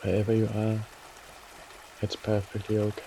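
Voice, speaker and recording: A man leads a guided meditation in a mellow, soft-edged voice that stays very even. He gives gentle, soothing instructions in short phrases with pauses, while water trickles faintly behind him. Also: lowish voice, creaky, a little hiss or hum, low-quality recording.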